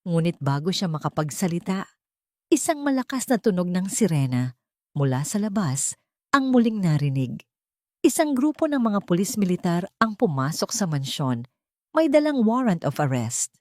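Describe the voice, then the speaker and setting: Woman storyteller, lower pitch, lively intonation, dry close sound, solemn dramatic narration. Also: normal speed, dry recording.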